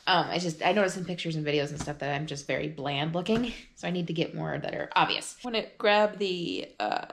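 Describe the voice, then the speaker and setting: A woman chatting casually in a rambling home vlog, her voice deep and groggy from just waking up. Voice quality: deep, gravelly. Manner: rambling, chatty, full of fillers. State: groggy morning voice. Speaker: vlogger. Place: her apartment.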